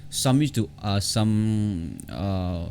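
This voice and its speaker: Deep-voiced, creaky man giving a tutorial. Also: very animated, darkish tone, a little hiss or hum. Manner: halting, repetitive, often restarting phrases. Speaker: tutorial host. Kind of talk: unscripted software tutorial.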